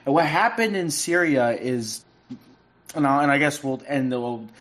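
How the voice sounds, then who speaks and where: A man from the United States, a podcast guest, speaking haltingly with many restarts over remote call audio that cuts in and out. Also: mid-range voice, lively intonation, a bit bright. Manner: halting, with frequent restarts and fillers. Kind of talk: casual podcast chat.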